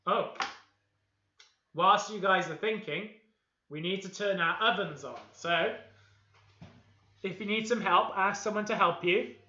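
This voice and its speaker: A Welsh man with a high, very bright and tense voice, upbeat and playful as he leads children through baking. The sound is echoey and dull, like lo-fi livestream audio from a kitchen. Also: measured pace, pauses often. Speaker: host of a kids' baking livestream. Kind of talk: leading a live baking session with a game of I Spy. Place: home kitchen.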